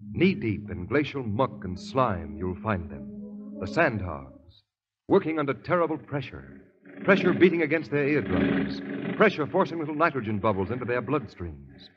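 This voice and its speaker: An actor playing a grave, portentous announcer narrating a 1930s radio mystery with emphatic delivery. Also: male voice, mid-range voice, very animated, moderate pace, over music, natural voice. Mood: ominous, intense. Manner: emphatic, portentous, repetitive build-up. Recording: dull, lo-fi 1930s radio recording.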